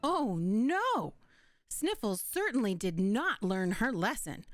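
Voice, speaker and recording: A woman's voice: fairly high pitch, sing-song, slow pace, faint music behind. A woman voicing a chirpy young female storytime guide who chats to child listeners and asks them questions. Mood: playful, curious. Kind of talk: children's read-along hosting.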